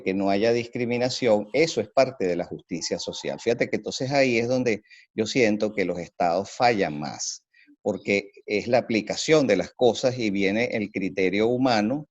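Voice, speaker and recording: Male podcast co-host, somewhat deep, thinking aloud with deliberate, searching phrasing; slightly dulled, close dry sound. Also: no room sound. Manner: deliberate, halting, searching for words. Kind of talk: conversational opinion discussion. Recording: dry, slightly dull audio.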